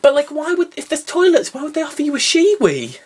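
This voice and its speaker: A man's voice: very high-pitched, wildly swooping pitch, fairly quick, darkish tone. A young man hosts a casual podcast chat, teasing his co-hosts and jumping between topics. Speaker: podcast host. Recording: faint hiss and clicks.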